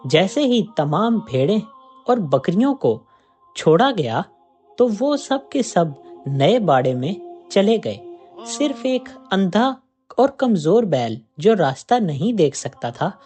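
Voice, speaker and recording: An expressive storyteller narrates a scripted moral tale with a lively, moving melody. The pitch sits in a middle range, and music plays softly behind the voice. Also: slow. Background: background music.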